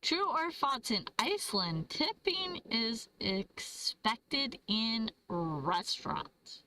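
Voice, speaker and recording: Bright, slow-talking woman host. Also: on the high side, sing-song, slight background noise, low-quality recording. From Michigan, USA. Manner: chatty, laughs often, reads viewer comments aloud. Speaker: livestream host. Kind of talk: casual trivia livestream chat.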